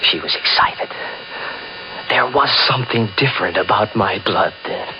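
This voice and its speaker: A man's voice: lowish voice, normal speed, close to an everyday voice. An actor playing a guilt-haunted, scheming murderer who narrates his crime in brooding, melodramatic 1940s radio style. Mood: brooding, anxious. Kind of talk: 1940s radio thriller acting, first-person narration. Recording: dull old radio broadcast with music.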